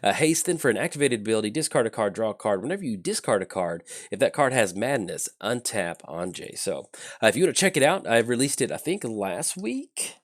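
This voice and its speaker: A low-voiced man talks through his card game moves in a loose, thinking-aloud way, full of ums and uhs. His delivery swings up and down with lively intonation. He is getting over a chest cold, so his throat sounds a little scratchy. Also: fairly quick. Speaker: channel host. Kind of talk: casual card game commentary.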